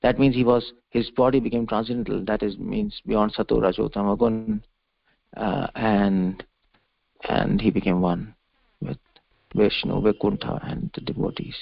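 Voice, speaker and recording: A man delivering a religious lecture as a preacher, explaining scripture emphatically in halting, repeated phrases over a conference call. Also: lowish voice, expressive, vocal fry. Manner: emphatic, repetitive, halting phrases with restated words. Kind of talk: religious discourse, explaining a verse. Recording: dull conference-call audio.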